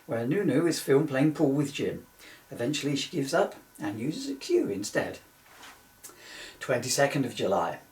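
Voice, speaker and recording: A man's voice: mid-range voice, a little mellow. Man reading informally, distant and echoey. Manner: rambling, often breaking into giggles at own jokes. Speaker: author reading his parody book. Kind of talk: informal comic book reading with asides. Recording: roomy echo, distant microphone.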